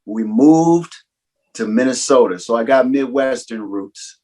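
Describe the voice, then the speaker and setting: A man with an everyday mid-range voice chats casually over a video call. He tells his story in a relaxed, slangy way. He is a rapper and guest on the show. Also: mid-range voice, a little slow.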